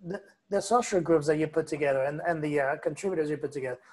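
A man's voice: on the high side, fast, darkish tone. A man speaking as a guest commentator on a political podcast, emphatic and opinionated. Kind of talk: casual political podcast talk.

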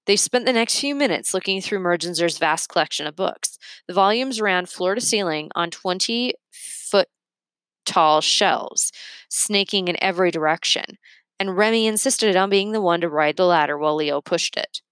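Woman doing a kids book read-aloud, lowish voice, very dry close sound. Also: normal speed, fairly bright tone, no echo. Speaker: reader of a children's book.

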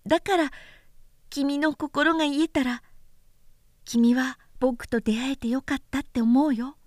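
Storybook boy prince, played by a woman: small, gentle, wistful; high, soft childlike delivery, very dry sound. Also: fairly even intonation, a little slow, no echo. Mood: playful, tender. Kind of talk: storybook character voice in a literary recitation.

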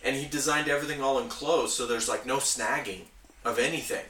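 A man's voice: mid-range voice, a little fast, pushed and bright. A man chatting casually as a podcast host, explaining his hobby with nerdy enthusiasm. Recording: roomy, echoey, distant from mic.

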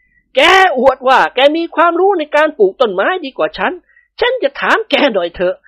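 A woman voicing a stern, easily riled older male nobleman who barks and shouts in bursts. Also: high voice, very animated, normal speed, no echo, dull audio, voice pushed up. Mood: gruff. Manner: gruff barking, shouting in bursts.

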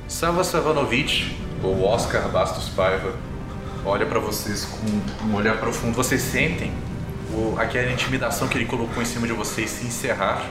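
A male game master narrates a tabletop role-playing session at a medium pitch. His voice has a roomy echo, and music runs clearly beneath it.

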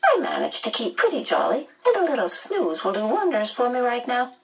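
A storybook medium, jolly and shamelessly sentimental. The voice is very high and swoops about as it gushes and wails with flirtatious, otherworldly cheer. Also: female voice, sing-song, brisk, gravelly, distant mic. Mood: fretful, then jolly. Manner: gushing, wailing, exclamatory.

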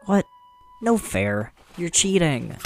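An upbeat young man in an audio drama, with a medium-pitched voice over faint music. He is goofy and generous, chatting playfully and ready with mock indignation. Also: very animated, slow pace, gravelly, darkish tone, full character voice. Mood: mock-indignant. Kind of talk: casual audio drama dialogue.

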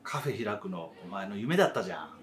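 A man's voice: on the low side, big pitch swings, fast. A man in his thirties playing a supportive, frank old friend in a radio drama, talking in a casual, encouraging way. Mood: concerned. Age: 30s. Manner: casual, plain-spoken, encouraging.

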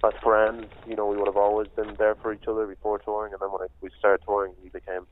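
A man's voice: on the low side, monotone, quick pace, a little hiss or hum. A young man from Spain, a band musician speaking reflectively in an interview, full of "you know" fillers. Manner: soft-spoken, many "you know" fillers. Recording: tinny phone-line sound.

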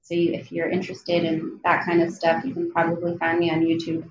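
A woman's voice: on the low side, completely flat delivery, brisk, reverberant, lo-fi sound, crowd noise. A woman from New Jersey with an American accent, a podcast guest talking casually with lots of fillers and easy laughter. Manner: chatty, many fillers, laughs easily. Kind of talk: casual conversation.

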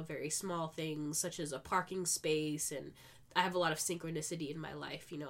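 Woman, slightly low pitch, congested cold-ridden voice, podcast host, casual monologue, chatty, upbeat. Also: fairly bright tone. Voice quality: congested, stuffed-up nose. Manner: chatty, informal, frequent 'like' and 'you know'; upbeat, positive. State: sick with a cold.

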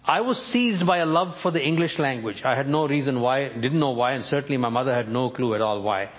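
A man in his seventies, born and raised in North India, preaching a sermon by telling his own life story in a measured, storytelling way. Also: mid-range voice, lo-fi sound. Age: 70s. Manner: personal storytelling, measured with emphatic lifts.

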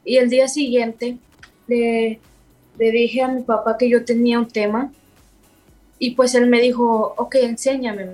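Young woman, halting speech. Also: fairly high pitch, little pitch movement, a little mellow, faint music behind, rough recording. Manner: halting, searching for words, apologizes for her Spanish. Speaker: singer and bassist of a worship band. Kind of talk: interview guest.

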